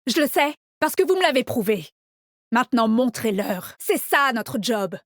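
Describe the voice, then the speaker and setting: Cocky, high-voiced female game agent. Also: sing-song, normal speed, no echo, studio quality. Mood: firm, rallying. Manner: confident, brash, punchy delivery. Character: cocky, teasing female agent, tough but proud squad leader. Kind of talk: video game character voice lines.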